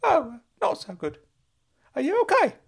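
A man voicing an excitable, fussy retired uncle, eager and worried in turn. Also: measured pace, vocal fry, exaggerated voice. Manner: high-pitched, eager and fussy. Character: excitable older uncle, retired, wearing a mask.

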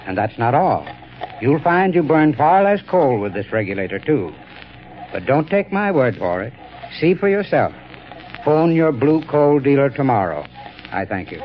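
A man delivering an earnest, persuasive, measured 1930s radio sales pitch as an expert spokesman. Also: sing-song. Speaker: advertising spokesman, heating expert. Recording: dull, noisy old radio recording.

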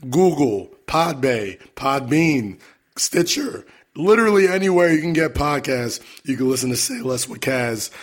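A man hosting his podcast with a raspy, hoarse voice, talking loosely and swearing casually. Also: medium pitch. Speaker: podcast host.

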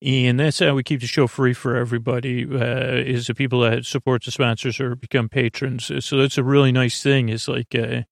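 A man with a medium-pitched voice full of creak delivers a sleep podcast monologue. He meanders and stumbles, often restarting and losing his thread. The sound is very dry, with no room echo. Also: little pitch movement. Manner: meandering, halting, full of digressions and false starts. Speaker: podcast host.

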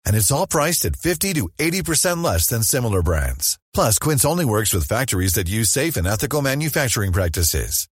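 A man reading a scripted commercial as an upbeat sales pitch. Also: breathy edge, no room sound, clean studio sound. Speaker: ad announcer.

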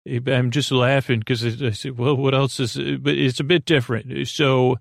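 A man with a creaky, gravelly voice hosting a sleep podcast in a meandering, halting, goofy monologue that keeps interrupting itself. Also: mid-range voice, flat delivery, no room sound, clean studio sound. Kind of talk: rambling bedtime monologue.

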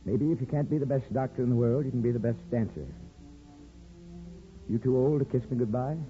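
An actor playing a gruff, sentimental middle-aged small-town father in a folksy, grumbling manner, in 1950s radio drama style. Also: medium pitch, fairly even intonation, fairly quick, natural voice. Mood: subdued, tender. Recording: muffled 1950s radio broadcast, music.

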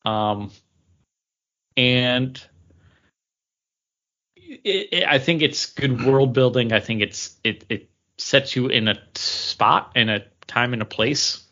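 A man co-hosting a podcast, casually giving a review as animated anecdote. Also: medium pitch, slow pace, long pauses, dull audio. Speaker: podcast co-host reviewing comics. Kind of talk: casual talk, book review.

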